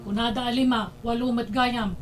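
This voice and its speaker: A woman's voice: fairly high pitch, fairly even intonation, moderate pace, lightly acted. A woman with an Ilocano accent playing a nosy, muttering character in an audio drama. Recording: distant from mic, music behind.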